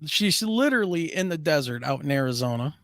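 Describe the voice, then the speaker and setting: A man's voice: a little high, expressive, a little fast, no echo, studio quality. Man with a scratchy, worn voice. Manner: upbeat, chatty, laughs easily. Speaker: co-host of a paranormal talk show. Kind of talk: casual live-stream chat.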